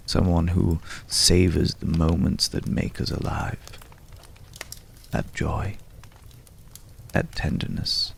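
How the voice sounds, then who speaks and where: A man voicing a courtly, world-weary old companion in an intimate ASMR roleplay, speaking in formal, archaic phrases with long pauses and a gravelly low voice. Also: a little hiss or hum, heavily acted. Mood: earnest, questioning.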